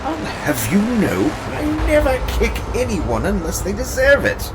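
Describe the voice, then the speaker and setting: A man's voice: high voice, wildly swooping pitch, normal speed, background noise. A man playing a cocky, self-important racing team owner in a scripted sci-fi audio drama, indignant and showy. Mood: indignant, smug.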